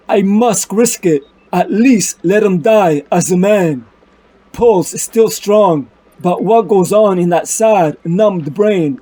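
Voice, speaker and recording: Man acting a high-voiced, grave detective superhero. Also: mellow, poor audio. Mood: anguished resolve. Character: Batman, grave, driven adult male detective hero. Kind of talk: dramatic comic-book dub with inner monologue. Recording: music bed under the voice.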